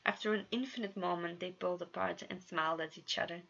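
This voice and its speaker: A woman's voice: lowish voice, fairly even intonation, quick pace, gravelly, fairly bright tone, lo-fi sound. A woman reading a story aloud as an amateur narrator, stumbling over words and going back over them. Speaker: narrator reading her own fan fiction.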